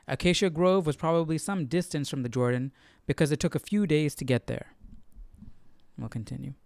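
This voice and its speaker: A man's voice: a little high, a little fast, creaky, a little mellow, no room sound. A man hosting a casual live Bible study, musing aloud and often checking with a questioning tag. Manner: musing, tags sentences with "right?". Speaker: host.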